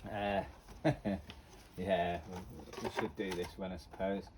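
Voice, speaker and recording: A man's voice: low voice, flat delivery, slow pace, darkish tone. A man giving casual, unscripted commentary as a vlogger, rambling and muttering to himself. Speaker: amateur vlogger going through old tapes. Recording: very noisy amateur recording with clicks.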